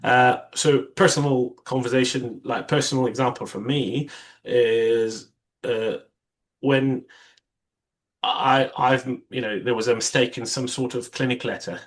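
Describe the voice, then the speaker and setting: A man's voice: mid-range voice, fairly even intonation, a little slow. A young man whose first language is Arabic, a surgeon being interviewed, speaking in a reflective way with many restarts and quoted asides. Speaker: vascular surgeon, interview guest.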